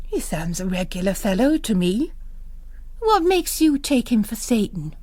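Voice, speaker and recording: Woman voicing a calm, probing young male curate. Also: a little high, unhurried, no room sound.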